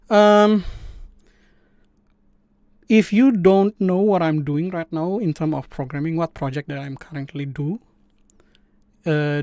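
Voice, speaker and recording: Indonesian-accented man with a gravelly voice. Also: a little high, darkish tone, no echo. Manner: halting, many fillers and restarts. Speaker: live stream host. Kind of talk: casual coding stream chat.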